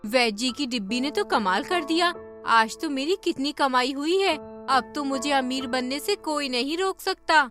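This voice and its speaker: A woman's voice: high voice, lively intonation, moderate pace, fairly bright tone, faint music behind. A woman voicing an envious, complaining poor village wife in a cartoon story. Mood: eager.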